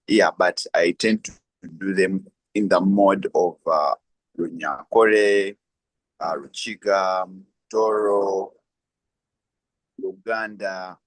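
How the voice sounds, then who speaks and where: A young man with a Ugandan accent answers interview questions in a very deep voice. The recording is dry and close, and he talks hesitantly, leaning on um fillers as he explains. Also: steady tone, slow pace, long pauses, no echo, rough recording. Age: young adult. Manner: hesitant, many um fillers, laughs lightly. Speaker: guest, lawyer and freelancer. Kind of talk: conversational interview.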